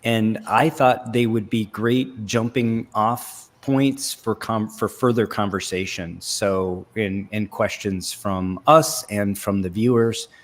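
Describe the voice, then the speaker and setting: A man's voice: lowish voice, steady tone, slow pace, few pauses. A man hosting an online panel, introducing the guest and handing him the floor in a friendly, joking way. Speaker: host. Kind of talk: hosting a video call panel.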